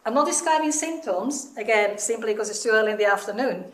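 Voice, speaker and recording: A woman's voice: a little high, animated, quick pace. A middle-aged woman with a Southern European accent, giving an informal seminar talk in a candid, emphatic manner. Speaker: seminar speaker, researcher. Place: indoor seminar room with seated audience. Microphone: microphone on a stand. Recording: echoey room, some distance from mic.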